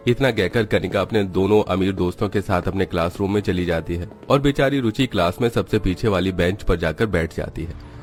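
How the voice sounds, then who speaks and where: A man's voice: sits low, a little fast. A man reading scripted narration for a children's moral story. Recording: music behind the voice.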